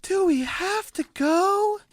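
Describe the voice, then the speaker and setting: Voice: very high voice, animated, slow, dry recording, studio quality, voice pushed up, heavily acted. A performer voicing a whiny, petulant young cartoon chicken in a radio parody. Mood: whiny, disappointed. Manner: whining, complaining.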